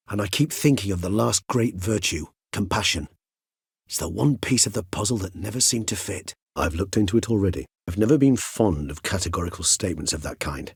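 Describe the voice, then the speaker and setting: An actor playing a courteous, erudite, world-weary centuries-old vampire in a video game, speaking in measured, eloquent, formal phrases. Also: male voice, low voice, husky, no echo, studio quality, exaggerated voice. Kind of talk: video game character dialogue.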